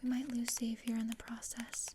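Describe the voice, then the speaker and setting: A woman playing a morbid, scheming, deadpan teenage girl in a whispered ASMR roleplay, with a measured, dryly macabre manner. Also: fairly high pitch, background noise, lightly acted. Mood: dry, plotting.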